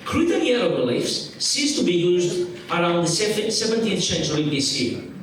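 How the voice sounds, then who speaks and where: A man's voice: high voice, very animated, moderate pace, a little hiss or hum. A man from Greece with a Greek accent, reading an academic conference paper in a formal way that has frequent restarts and repeated words. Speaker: lecturer. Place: large museum auditorium. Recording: distant, echoey auditorium sound.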